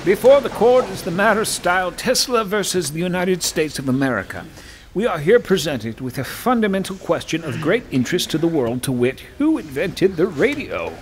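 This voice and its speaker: An actor playing a stern, pompous chief justice, declaiming formally in an old-time radio courtroom drama. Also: male voice, on the high side, a little hiss or hum.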